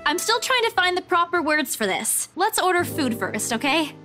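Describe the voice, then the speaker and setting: A very high, girlish young woman from a visual novel, excitable and easily flustered. Her pitch swoops widely, and prominent music plays behind her. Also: moderate pace, heavily acted. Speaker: game character voice actor. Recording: game audio with music behind.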